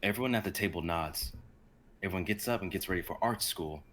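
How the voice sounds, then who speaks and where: A man's voice: low-pitched, a little fast, a bit bright, a little hiss or hum. A young man giving a dramatic narration of an amateur script. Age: young adult. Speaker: narrator, stream performer.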